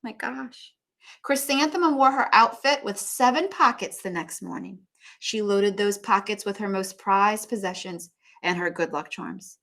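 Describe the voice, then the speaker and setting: A woman reading a picture book aloud in an expressive, animated teacher's manner, with asides to young listeners. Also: medium pitch, a little slow, creaky. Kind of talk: expressive children's story read-aloud.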